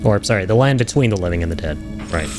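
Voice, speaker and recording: A man's voice: low voice, animated, quick pace. Enthusiastic man reacting, music behind. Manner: effusive, rambling, addresses viewers as folks. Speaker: video host reacting to game trailers. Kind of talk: casual enthusiastic reaction commentary.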